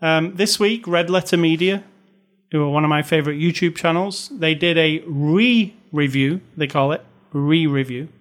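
Podcast co-host, male, slightly high-pitched, casual and opinionated. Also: normal speed. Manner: chatty, opinionated, restarts and filler words. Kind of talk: casual film review chat.

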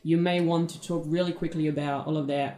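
A woman co-hosts a podcast with an easygoing, chatty delivery, padding her thoughts with fillers. Her voice sits on the low side and rings in an echoey room. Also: steady tone, brisk, noisy background. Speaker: podcast co-host. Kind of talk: casual chat.

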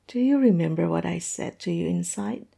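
A woman's voice: lowish voice, creaky, mellow, husky, exaggerated voice. A woman acting in a roleplay audio drama as a tipsy, lovestruck young woman, self-mocking and playfully theatrical.

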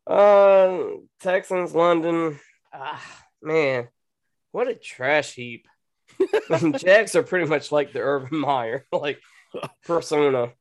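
A man's voice: a little high, takes it slowly. A man co-hosting a sports betting show, laid-back and easygoing. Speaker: podcast co-host. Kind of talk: casual sports betting chat.

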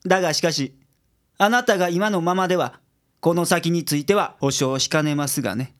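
A man playing a brisk, self-assured private detective in a radio drama, speaking in a businesslike, declarative way. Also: on the high side, a bit bright, close to an everyday voice. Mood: firm, admonishing.

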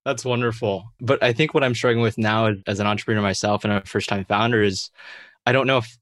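A man's voice: fairly low pitch, fairly even intonation, quick pace, a bit bright, no room sound, clean studio sound. A man around thirty, a student interviewer asking earnest questions with casual fillers. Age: late 20s to early 30s. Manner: earnest, uses fillers like "you know".

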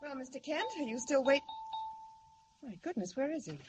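An actress playing a fussy, proper but obliging hospital nurse in a 1940s radio serial. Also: female voice, high voice, animated, normal speed, music in the background. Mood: chatty, surprised. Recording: old radio serial with faint noise.

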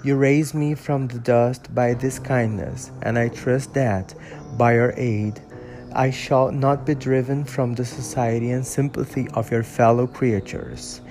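A man's voice: medium pitch, fairly even intonation, unhurried, darkish tone, soft background music, close to an everyday voice. A man reading a pleading lonely creature. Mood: grateful. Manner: fervent, imploring. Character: lonely shunned creature, male, pleading and desperate.

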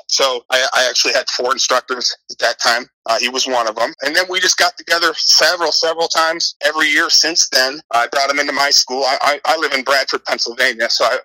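Middle aged american guy in an interview, really bright forceful voice, dry sound, rambles a bit. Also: mid-range voice, no echo, rough recording. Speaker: interview guest, author and martial arts instructor. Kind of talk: conversational interview.

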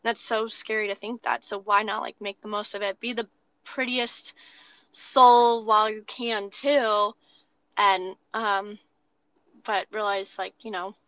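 A woman's voice: medium pitch, fairly even intonation, unhurried, a bit bright, no echo, dull audio. A young American woman chatting casually as an interview guest, earnest and informal. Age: young adult. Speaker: podcast guest.

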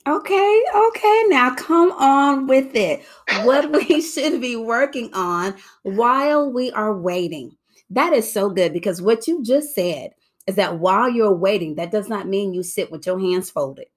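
Animated, upbeat woman podcast host. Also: fairly high pitch, unhurried. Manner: upbeat, exclamatory. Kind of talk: enthusiastic podcast interview.

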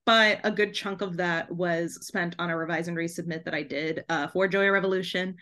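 A woman talking casually as an interview guest, chatty and animated, often interrupting herself mid-thought. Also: fairly low pitch, moderate pace. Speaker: podcast guest, debut author.

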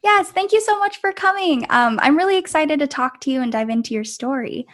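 A woman's voice: high-pitched, lively intonation, a little fast, a bit bright. A young woman hosting a podcast, upbeat, filling her questions with "um" and "kind of". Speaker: podcast host. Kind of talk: scripted intro, then casual interview.

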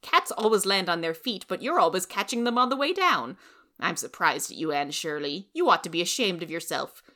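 Woman reading as a sharp, sour older busybody. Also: on the low side, animated, brisk. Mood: scolding. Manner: sarcastic, scolding, indignant. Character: thin, sour, sharp-tongued ghostly busybody woman of sixty. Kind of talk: storybook character reading.

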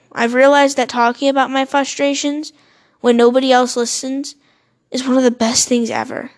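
A high-pitched young woman in her teens talks straight to her listeners. She rambles earnestly, trips over words and drops swear words and self-mocking jokes as she goes. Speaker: podcast host. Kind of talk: solo motivational podcast monologue.

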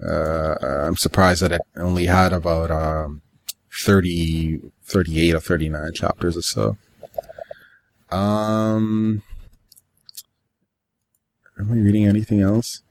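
A man's voice: low-pitched, flat delivery, takes it slowly, long pauses, slight background noise. A man chatting casually as a podcast co-host, offhand in manner and slipping into acting out quoted remarks. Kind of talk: casual chat about games and manga.

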